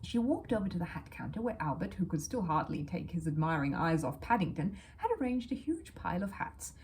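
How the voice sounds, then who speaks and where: A woman reading a children's story aloud as an expressive narrator. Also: on the low side, fairly quick, a little hiss or hum.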